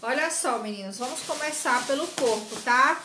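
A woman's voice: high-pitched, normal speed, roomy sound, background noise. A woman in her forties hosting a live sewing stream from her craft studio, chatting and greeting viewers by name. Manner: chatty, greets viewers by name, frequent "certo?" tag questions. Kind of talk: live sewing tutorial chat. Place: small craft studio with shelves of dolls, indoors.

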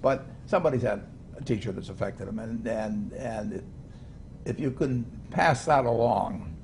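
An older man talking as an interview guest, halting with many restarts and chuckles, in a studio set like a living room. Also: on the low side, a little mellow, a little hiss or hum. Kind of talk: casual interview talk.

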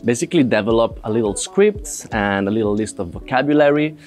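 A man's voice: mid-range voice, lively intonation, a little fast, a little mellow, faint music behind. A man in his late twenties, a native Italian speaker, chatting casually to the camera with fillers and restarts, recorded on a lapel mic indoors. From Italian household. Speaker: presenter.

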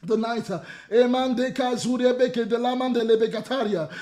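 A man in his thirties preaching over a video call from a small room at home, hoarse from losing his voice, shouting in a chanting cadence with a grunted "uh" between phrases and breaking into tongues. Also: extremely high pitch, steady tone, measured pace, soft background music. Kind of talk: charismatic sermon with shouting and speaking in tongues. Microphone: webcam in front of him, video call.

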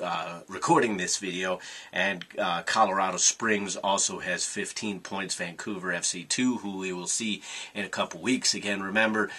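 A man in his thirties giving an animated, frustrated postmatch review from a small room into a webcam. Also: sits low, breathy edge. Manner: animated, frustrated ranting with gestures. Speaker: soccer fan vlogger. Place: small room with sports posters, indoors.

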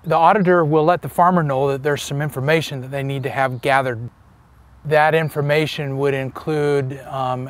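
A man in his fifties giving an explanatory interview as an energy specialist. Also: medium pitch. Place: outdoors among trees by a wooden fence.

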